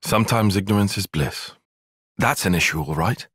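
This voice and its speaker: A man's voice: low voice, normal speed, fairly bright tone, breathy edge, dry recording, clean studio sound. A man with a British accent voicing an earnest, cautious young soldier-strategist in a video game, speaking in a precise, formal, articulate way. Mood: dry, exasperated. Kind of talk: video game cutscene voice acting.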